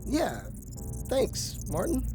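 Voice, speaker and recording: A man's voice: very high-pitched, huge pitch swings, slow pace, heavily acted, poor audio. A man playing a breezy, slightly hesitant spaceship co-pilot in a sci-fi radio drama. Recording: music under the voice.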